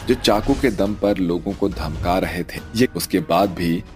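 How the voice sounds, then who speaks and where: A male storyteller narrates a cartoon tale from a script. His voice sits fairly low, and light music runs underneath. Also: a little slow.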